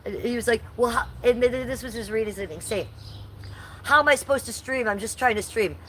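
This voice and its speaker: A woman's voice: on the high side, fairly quick, a bit bright, slight background noise. Stuffy-nosed woman livestreaming outdoors. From Ohio, USA. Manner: chatty, profane, reacts to chat. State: stuffy, nasal from allergies. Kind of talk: casual livestream chat. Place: home and backyard, outdoors.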